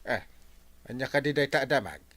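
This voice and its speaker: An actor playing a flustered, slow-witted village man with a hesitant, stammering delivery in a radio comedy. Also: mid-range voice, animated, heavy background noise, close to an everyday voice. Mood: flustered, puzzled. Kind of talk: comedic radio drama acting.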